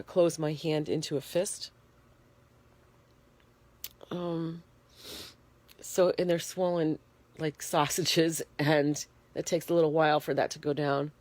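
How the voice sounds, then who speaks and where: A woman in a bedroom talking frankly into a handheld phone, congested and shivering, pausing often with fillers. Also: deep voice, a little mellow. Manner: plain-spoken, frank, halting with fillers. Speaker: vlogger. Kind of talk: casual personal video diary. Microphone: phone held in hand.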